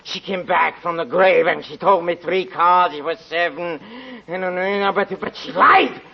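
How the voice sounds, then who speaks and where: Crazed, high-pitched, raving gambler. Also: male voice, measured pace, gravelly. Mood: anguished confession. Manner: stammering, manic, breaking into mad laughter. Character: crazed gambler, a haunted murderer raving in anguish. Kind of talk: 1940s radio acting. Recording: muffled 1940s radio recording, crowded background.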